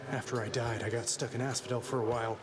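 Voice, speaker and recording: A man's voice: mid-range voice, fairly even intonation, fast talker, a loud crowd, natural voice. A man playing a vain, aloof dead youth in a video game, offhand and languid. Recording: loud music behind.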